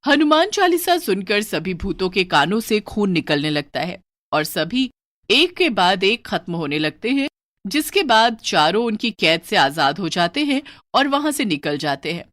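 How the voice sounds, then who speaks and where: A woman's voice: medium pitch, big pitch swings, a little slow, a bit bright, dry recording, studio quality. A woman narrating a scripted horror story in a dramatic storytelling style.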